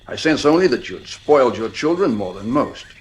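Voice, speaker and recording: An actor playing a blunt, uneasy psychiatrist and family friend in a 1950s radio drama, measured and authoritative. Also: male voice, medium pitch, big pitch swings, a little hiss or hum, close to an everyday voice. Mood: blunt, admonishing.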